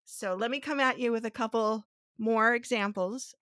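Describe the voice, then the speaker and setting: A woman's voice: on the high side, fairly even intonation, normal speed, a bit bright, no echo, clean studio sound. A woman hosting a casual podcast, thinking aloud with restarts and rhetorical questions, a native Spanish speaker. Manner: explains step by step to her co-host. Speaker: podcast host.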